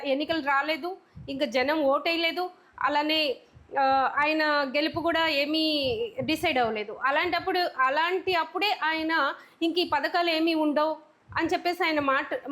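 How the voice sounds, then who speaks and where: A woman's voice: high voice, fairly bright tone. A woman presenting political news commentary in a wordy, explanatory way that circles back over phrases.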